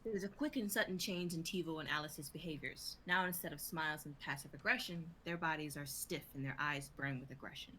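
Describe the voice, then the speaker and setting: Low, bright young woman narrating. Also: fairly quick, hardly any pauses, a little hiss or hum. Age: young adult. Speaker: stage directions reader. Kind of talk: scripted narration in a live reading.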